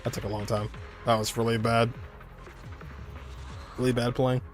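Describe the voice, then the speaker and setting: A man's voice: medium pitch, level tone, moderate pace. A man streaming a game, giving casual, offhand commentary as he plays, half talking to himself. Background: game music.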